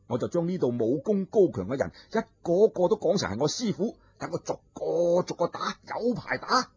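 A man's voice: on the high side, big pitch swings, moderate pace, darkish tone, breathy edge, dry recording, full character voice. A man voicing a clever, cheeky young male scholar who bargains and teases his opponent. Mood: scheming. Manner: bargaining, teasing, wily. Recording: dull lo-fi recording.

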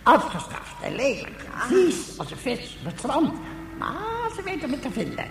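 A grumbling old hermit guide in a radio play, with a creaky voice that swings widely in pitch. The talk is weary, rambling and self-pitying. The recording is echoey and noisy. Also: female voice, wildly swooping pitch, unhurried, heavily acted. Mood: bitter ranting. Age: older. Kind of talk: radio drama acting.

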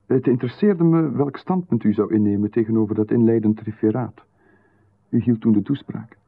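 A man with a Flemish accent, playing a calm, insistent stranger in a radio drama who seems to know far too much. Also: mid-range voice, brisk, lightly acted. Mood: insinuating. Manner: calm, insistent, coolly overfamiliar. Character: calm, knowing male stranger who watches closely. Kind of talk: 1990s radio drama acting. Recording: narrow, phone-like radio broadcast.

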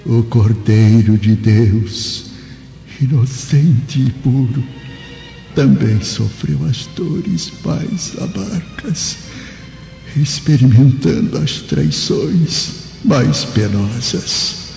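An actor playing a gentle, devout old Samaritan in a radio drama, speaking solemnly and prayerfully. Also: male voice, mid-range voice, expressive, drawn-out words, vocal fry, heavily acted. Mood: resigned prayer. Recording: dull, echoey recording with long reverb, music. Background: music.

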